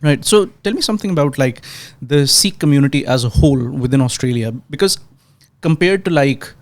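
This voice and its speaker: A man's voice: medium pitch, animated, brisk. A young man from Pakistan hosting a podcast, reading a scripted intro and then asking questions in a hesitant, conversational way with frequent restarts. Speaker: podcast host. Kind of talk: podcast interview.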